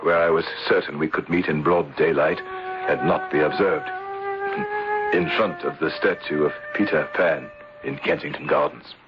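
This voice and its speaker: An actor playing an earnest, just English gentleman in a radio mystery, speaking in a measured, formal way. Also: rumbling low, huge pitch swings, music in the background, heavily acted. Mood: grave narrating. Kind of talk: 1970s radio mystery acting with first-person narration. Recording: dull, noisy old radio broadcast.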